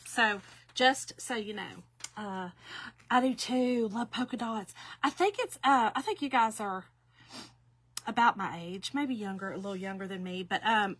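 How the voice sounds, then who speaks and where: Chatty fifties woman, bright voice. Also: medium pitch, expressive, measured pace, no echo. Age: 50s. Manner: chatty, folksy, addresses viewers as y'all. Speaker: craft demonstrator. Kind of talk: casual craft tutorial.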